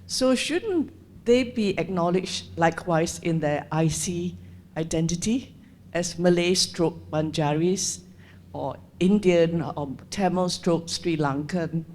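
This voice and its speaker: Woman asking a halting question. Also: lowish voice, darkish tone, a little hiss or hum. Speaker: audience questioner.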